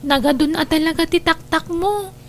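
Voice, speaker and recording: A woman acting a young female character, expressive and plaintive, in an Ilocano radio drama. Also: very high voice, moderate pace. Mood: gentle. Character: young female, anxious girlfriend or dutiful daughter. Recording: slightly noisy radio drama track.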